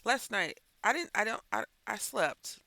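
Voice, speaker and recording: A man's voice: high-pitched, expressive, a little slow, gravelly, sharp tone, no room sound. A man chatting while coloring as host of a crafting channel, rambling and thinking aloud, sounding stuffy and congested. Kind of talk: casual chat while coloring.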